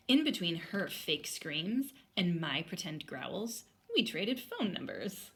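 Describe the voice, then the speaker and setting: Animated woman teacher reading to children. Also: lowish voice. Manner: explains step by step, thinking aloud. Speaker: elementary school teacher. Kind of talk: storybook read-aloud and lesson for children.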